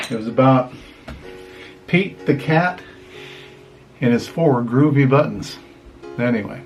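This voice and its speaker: A man's voice: medium pitch, muffled recording. An older man, a grandfather talking to children in a folksy, rambling, casual storytelling way. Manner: folksy, rambling, often saying "anyway". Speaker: grandfather reading picture books to children.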